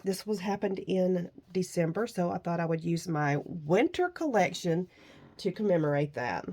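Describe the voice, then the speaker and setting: A woman hosting a crafting vlog, talking casually as she shows her handmade journal and layouts, her voice a bit nasal and rough from a cold. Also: fairly low pitch. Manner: warm, gushing about handmade crafts. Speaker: crafting vlogger. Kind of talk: casual show-and-tell crafting chat.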